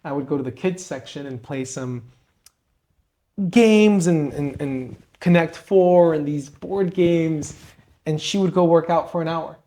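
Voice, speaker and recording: A man's voice: on the high side, a little slow, dark tone. A young man from Peru, co-host of a podcast, telling his story and giving advice in an earnest, motivational way. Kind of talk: conversational interview.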